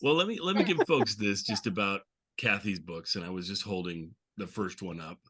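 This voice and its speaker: Bright-voiced man rambling as podcast host. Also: lowish voice, expressive, normal speed, breathy edge. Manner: rambling, conversational. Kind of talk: casual chat.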